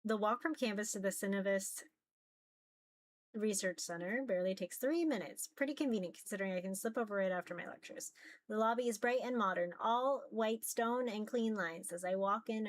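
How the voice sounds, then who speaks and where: A woman's voice: mid-range voice, normal speed. A woman reading a visual novel aloud as dramatic narration.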